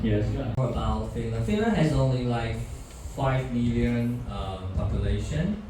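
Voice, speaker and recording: A man's voice: medium pitch, lively intonation, noisy background. Echoey far-off Taiwanese man. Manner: halting, many restarts and fillers. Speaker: guest speaker. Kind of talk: alumni talk to students. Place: university classroom. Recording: echoey lecture room from afar.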